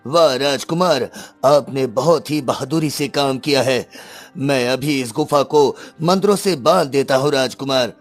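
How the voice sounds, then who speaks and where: A man voicing a wise, protective holy sage in a cartoon story, solemn and measured. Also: mid-range voice, faint music behind, lightly acted. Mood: approving.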